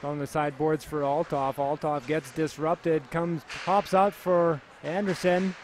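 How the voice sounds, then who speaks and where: A Canadian man calls a youth hockey game in a relaxed, chatty way, his voice sitting a little high. His commentary is loose and full of filler words. Faint background noise runs under the recording. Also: unhurried. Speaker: play-by-play commentator.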